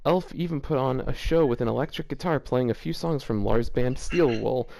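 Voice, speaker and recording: A man's voice: medium pitch, normal speed, creaky, a little mellow. Joking man reading fanfiction aloud. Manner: amused, laughing, mocking asides. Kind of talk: casual reading with joking commentary.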